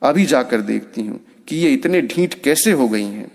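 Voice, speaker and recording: A man's voice: medium pitch, expressive, moderate pace, gravelly. A man voicing a fussy old village granny with a fretful, grumbling manner in a storybook tale. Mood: grumbling, worried.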